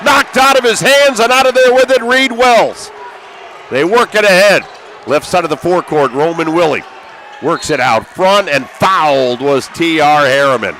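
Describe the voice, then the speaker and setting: An American man doing live basketball play-by-play as a broadcaster, excitable and raising his voice with the action. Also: fairly high pitch, moderate pace, some chatter behind. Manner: excitable, calls out action with raised voice. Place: courtside in high school gymnasium. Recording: live gym broadcast with background noise.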